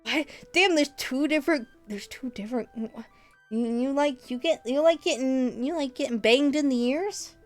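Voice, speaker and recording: Woman streamer, slightly high voice, loose teasing chat, giggles a lot, faint music underneath. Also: moderate pace. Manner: playful, teasing, laughs often, says y'all. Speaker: livestreamer. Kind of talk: casual stream chat with viewers.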